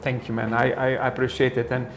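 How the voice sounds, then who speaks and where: A man's voice: mid-range voice, flat delivery, fast, vocal fry, a little hiss or hum. A man hosting an interview, talking conversationally with frequent fillers. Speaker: interview host and sales trainer. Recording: echoey room, faint ambience.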